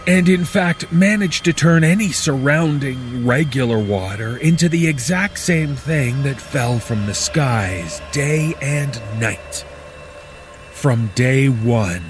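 A man playing a weary, bitter disaster survivor, narrating a horror story in the first person with deliberate, dramatic pauses. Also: medium pitch, close to an everyday voice.

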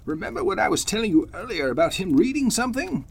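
Man acting a husky, animated, experienced judge. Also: high-pitched, fast, exaggerated voice. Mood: anxious. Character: wise, experienced judge, agitated and watchful. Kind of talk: golden age radio drama acting.

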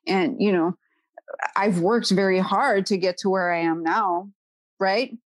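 A woman in her thirties speaks with a Quebec French accent, talking easily as a podcast guest. Her voice sits at a middle pitch, and she often pads her sentences with "you know" and "right?". The recording is dry and close, with no room sound. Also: a little slow, no room sound. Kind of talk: casual podcast conversation.

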